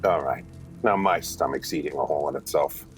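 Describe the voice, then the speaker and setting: An actor playing a gruff, blunt middle-aged father in a family audio drama. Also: very deep voice, brisk, faint music behind. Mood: dry, blunt. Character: gruff father of grown sons at family dinner.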